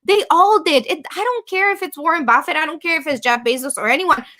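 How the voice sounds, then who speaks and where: High bright lady guest host, pep-talk style, punchy and emphatic. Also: a little fast. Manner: emphatic, motivational, repeats for effect. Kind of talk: casual podcast interview.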